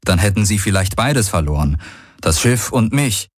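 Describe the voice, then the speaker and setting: A weary male space adventurer in a science-fiction audiobook, acted by a man reading the story. His voice sits low, and he talks bluntly with sardonic dryness. The recording has no room sound. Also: lively intonation, normal speed, no echo. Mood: reasoning. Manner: blunt, dry, swearing. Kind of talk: dramatised audiobook dialogue.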